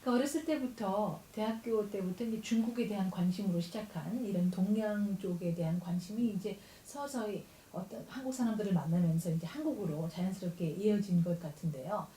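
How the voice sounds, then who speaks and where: A woman hosting a radio show in two languages, talking rapidly and switching between them. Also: mid-range voice, dark tone, echoey room, far from the mic, a little hiss or hum, poor audio. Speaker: radio host.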